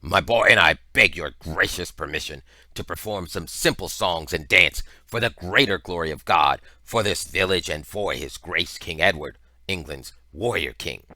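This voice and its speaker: A man voicing a big, ceremonious traveling juggler in an audiobook, speaking loudly with humble, pleading piety. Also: low voice, animated, moderate pace, no echo, exaggerated voice. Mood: humble, proclaiming. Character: big devout-seeming traveling juggler, loud, humble, grandiose. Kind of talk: audiobook character voice.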